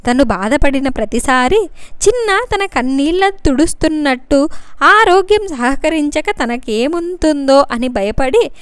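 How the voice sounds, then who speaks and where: A woman's voice: high-pitched, animated, unhurried, dry recording. A woman reading a scripted story as its narrator.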